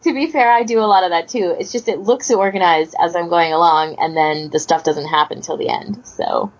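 A woman's voice: lowish voice, a little fast. A woman hosting a casual panel chat in an informal, rambling, self-deprecating way, on a muffled recording. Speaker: podcast host.

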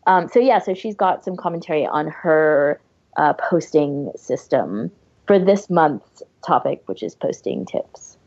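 A woman's voice: low voice, animated, a little slow, darkish tone, breathy edge, dry recording. A woman co-hosting a podcast in casual chat, on a slightly dull, lo-fi recording. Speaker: podcast co-host.

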